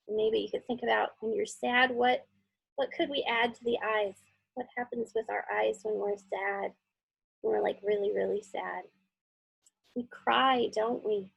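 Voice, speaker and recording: Woman, children's teacher. High, husky voice, chatty drawing instruction with frequent check-in questions, close dry sound. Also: dry recording, low-quality recording.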